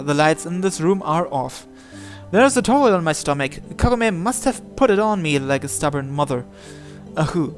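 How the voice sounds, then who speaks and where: A man with a fairly high voice narrates a visual novel in the first person, with music faintly behind him. His delivery is theatrical and deliberate, breaking the story into short dramatic phrases. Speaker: gamer reading a visual novel aloud.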